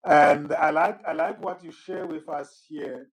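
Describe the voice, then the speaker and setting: A man hosting a formal podcast interview. He is courteous and measured, often repeating words as he introduces his guest. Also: fairly high pitch, steady tone. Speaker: host and moderator.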